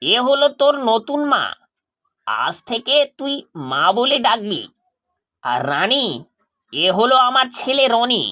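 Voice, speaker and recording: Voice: medium pitch, big pitch swings, slow, pauses often, bright, forceful tone, exaggerated voice. A performer voicing a trusting, affectionate father in a cartoon, agreeable and coaxing in manner. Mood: cheerful. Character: trusting, affectionate widowed father, easily persuaded. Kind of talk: cartoon voice acting.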